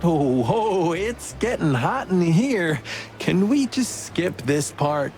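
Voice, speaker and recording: A man's voice: high-pitched, sing-song, takes it slowly, darkish tone, exaggerated voice. An actor playing a playful, joking male bird cop in a video game. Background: game music.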